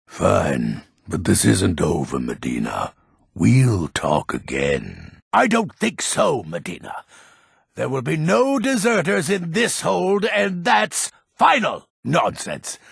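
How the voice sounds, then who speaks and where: A heavily gravelled, very hoarse-edged old lord from a fantasy game. He is proud and grumpy, blustering in slow, weighty phrases that swing widely in pitch. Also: huge pitch swings, no room sound, studio quality, exaggerated voice. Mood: stern. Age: older. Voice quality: gravelly, raspy. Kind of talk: video game character voice acting.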